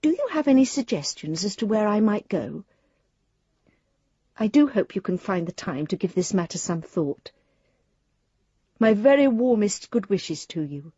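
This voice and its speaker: Prim, wryly amused woman with lively pitch. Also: unhurried, pauses often, breathy edge, no room sound, lo-fi sound. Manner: formal, arch, politely understated. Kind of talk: radio drama monologue, letter read aloud.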